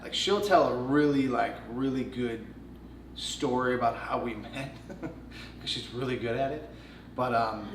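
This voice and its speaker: A young man tells a story in a chatty, self-mocking way, acting out what people said. His mid-pitched voice has a gravelly edge. He sounds set back from the mic in a roomy space with faint hiss. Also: far from the mic. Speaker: professional choreographer. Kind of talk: casual storytelling.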